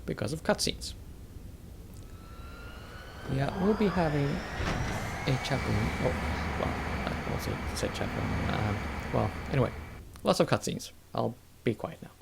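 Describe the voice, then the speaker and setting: A man's voice: mid-range voice, very animated, unhurried, gravelly. A man giving casual, hesitant, rambling commentary over a video game, on a noisy home recording. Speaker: let's play commentator.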